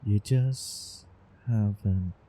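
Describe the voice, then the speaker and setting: A man playing a doting, obsessive young time traveler in love, in a theatrical romantic audio roleplay with halting, repeated words. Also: fairly low pitch, warm tone, a little hiss or hum. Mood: reassuring, eager. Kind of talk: over-the-top romantic roleplay audio drama.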